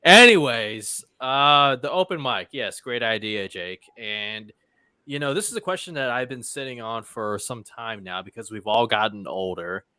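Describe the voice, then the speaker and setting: Bright-voiced man hosting casual chat. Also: mid-range voice, animated, moderate pace, clean tone, no room sound. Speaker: co-host of a movie talk show. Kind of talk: casual chat, posing questions.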